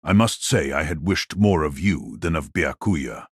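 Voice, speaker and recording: A man voicing a wicked, cold old sorcerer patriarch in an audiobook drama, speaking in a slow, mocking, condescending way. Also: rumbling low, breathy edge, dry recording, studio quality, full character voice.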